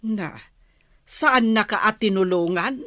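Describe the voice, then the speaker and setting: A woman's voice: mid-range voice, slow, sharp tone, no room sound. A woman with a Filipino accent playing a skeptical, probing village wife in a radio drama, with a dry, questioning delivery.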